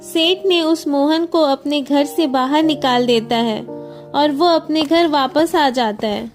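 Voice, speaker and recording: A woman's voice: sits high, slow, faint music behind. A woman reading scripted narration for a moral story as the storyteller.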